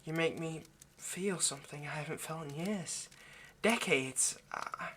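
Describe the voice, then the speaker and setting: A man's voice: on the high side, measured pace, a bit bright. A man playing a nervous, centuries-old vampire kidnapper in an intimate ASMR roleplay, hesitant, stammering and apologetic as he speaks to the listener. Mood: tender confessional. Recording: faint background noise.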